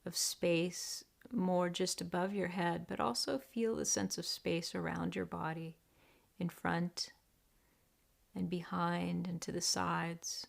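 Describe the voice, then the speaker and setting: A woman leading a guided meditation in a deliberate, gentle, hushed manner with long pauses. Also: lowish voice, steady tone, vocal fry. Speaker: meditation teacher.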